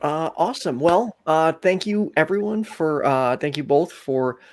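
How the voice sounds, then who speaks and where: Gravelly host thanking patrons. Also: male voice, on the high side, a little slow, a little mellow. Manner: hesitant, filler-heavy thanks. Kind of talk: casual call-in show hosting.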